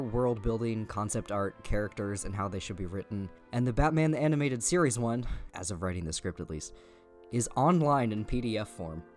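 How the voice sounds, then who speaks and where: A man narrating a scripted video essay in an informal, opinionated way, with casual asides and the odd swear word. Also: lowish voice.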